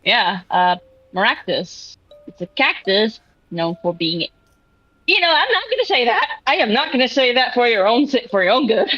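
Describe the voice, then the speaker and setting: A woman improvising dialogue in a tabletop roleplay, halting and repeating herself, on a dry, slightly dull mic. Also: a little high, big pitch swings, a bit bright, no room sound. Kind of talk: improvised in-character roleplay chat.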